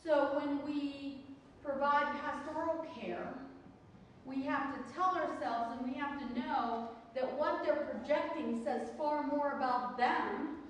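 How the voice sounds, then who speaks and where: A very reverberant, distant recording of a woman teaching a class. Her voice is high and she takes her time, pressing points with rhetorical questions and short check-ins to her students. The delivery is didactic and emphatic, with faint noise around it. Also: fairly even intonation, slow. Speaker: counseling course instructor. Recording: very echoey hall, distant mic, faint noise.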